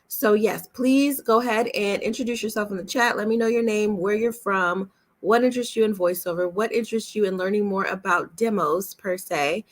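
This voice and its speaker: A woman's voice: medium pitch, a bit bright. Chatty woman hosting a casual livestream. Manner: welcoming, chatty, reassuring, repeats instructions. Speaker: voice actor and coach hosting a webinar. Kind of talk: casual livestream chat to viewers.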